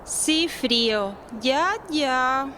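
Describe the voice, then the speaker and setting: Radio play daughter: spiteful twenty-something woman, high, sarcastic, faint street noise. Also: very slow, pushed and bright, full character voice. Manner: sarcastic, insinuating. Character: spiteful, gossiping unmarried woman of twenty-five. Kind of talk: radio drama dialogue.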